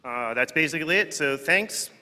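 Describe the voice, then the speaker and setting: A man's voice: fairly high pitch, expressive, moderate pace, background noise. A man on stage announcing contest winners, upbeat and joking as he calls out to the crowd. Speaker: contest organizer presenting prizes. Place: large conference hall. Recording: reverberant hall with crowd.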